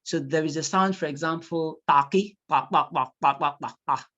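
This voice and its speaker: A Nigerian instructor whose first language is Yoruba gives a classroom lecture in English with a Nigerian accent. The delivery is animated, and key points are repeated for emphasis. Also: medium pitch, normal speed, highs cut off.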